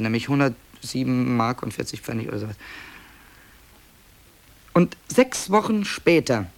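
A wry male author narrating a radio play in a fairly high, heavily creaking voice. He explains things in a dry, matter-of-fact way, with hesitations and small digressions, as if talking off the cuff.

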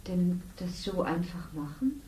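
Lower-voiced, dark-toned, jealous, cutting partner; roomy and distant radio-play sound. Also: female voice, animated, a little slow, slight background noise. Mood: bitter. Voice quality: sharp-tongued and scornful. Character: bitter, jealous woman, the man's partner. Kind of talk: 1970s radio drama. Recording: echoey room, distant, faint ambience.

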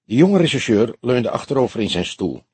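A man's voice: medium pitch, sing-song, a little fast, darkish tone, husky, dry recording. A man reading a detective novel aloud as scripted narration. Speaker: audiobook reader.

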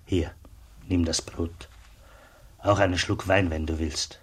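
An actor playing a kindly, plain-spoken border customs officer in a German radio drama, with a husky voice and simple, friendly manner. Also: male voice, low-pitched, steady tone, takes it slowly, exaggerated voice. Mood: generous.

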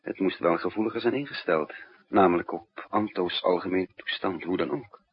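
A man's voice: deep voice, normal speed, husky. An actor playing a weary male spy who tells his desperate story in dramatic first person in a 1960s radio drama. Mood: grave, tender. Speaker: narrator and lead character. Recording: dull muffled 1960s radio recording.

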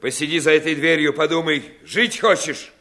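An actor playing a menacing, commanding pirate chief with a gravelly voice in a fairy-tale audio play. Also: male voice, a little high, normal speed, pushed and bright. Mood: threatening, angry.

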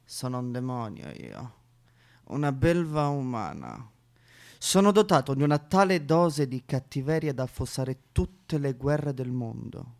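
A man's voice: medium pitch, very animated, unhurried, vocal fry, a little mellow. A male actor playing a bitter, self-loathing misanthropic man in a histrionic theatrical comic monologue. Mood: sneering, self-loathing. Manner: histrionic, swinging from muttering to outbursts with spitting noises. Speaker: stage monologue performer.

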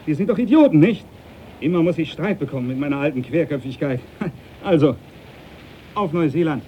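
An actor playing a stubborn, pious, hot-tempered ship's captain in a radio drama, blustering and emphatic. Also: male voice, fairly high pitch, animated, brisk, muffled recording, a little hiss or hum, lightly acted. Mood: conciliatory, eager. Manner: blustering, emphatic, short exclamations.

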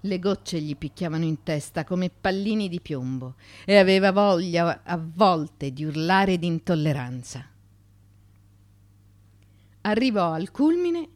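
A woman reading literary fiction as radio theatre, with intense, theatrical narration and deliberate phrasing. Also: fairly low pitch, a little mellow, no room sound. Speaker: actress reading a novel.

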